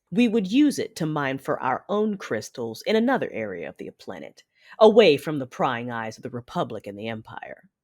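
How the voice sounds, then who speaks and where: A woman as an expressive, imperious dark warlord. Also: sits low, full character voice. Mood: commanding. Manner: measured, imperious. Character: commanding, calculating dark-side warlord mother in armor. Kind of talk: first-person dramatic narration.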